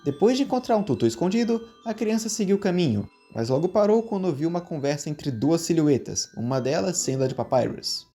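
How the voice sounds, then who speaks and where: Mellow storytelling narrator with lively intonation. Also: medium pitch, a little fast, few pauses, breathy edge. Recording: music behind the voice.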